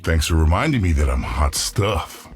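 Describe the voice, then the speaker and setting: An actor playing a huge, brash and jovial cyborg warrior in a video game, with a boastful, playful swagger. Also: male voice, a bass voice, sing-song, moderate pace, faint music behind. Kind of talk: video game character voice acting.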